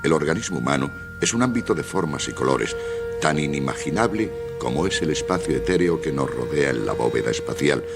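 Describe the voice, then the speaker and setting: An actor playing a learned, authoritative doctor in a radio drama, speaking in a didactic, declamatory way. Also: male voice, very deep voice, lively intonation, brisk, vocal fry, heavily acted. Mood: wondering, didactic. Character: learned, authoritative male doctor aboard a submarine, philosophical. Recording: loud music behind the voice.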